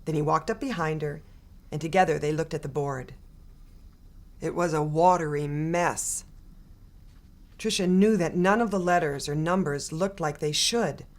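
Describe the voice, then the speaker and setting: Gentle woman reading a picture book. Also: low-pitched, measured pace, pauses often. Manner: gentle, expressive storytelling. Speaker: storybook reader. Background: soft guitar music.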